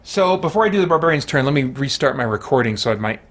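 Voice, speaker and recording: A man's voice: medium pitch, expressive, quick pace. A man hosting a solo tabletop game, giving casual play-by-play and thinking aloud with mild exclamations and chatty asides to himself.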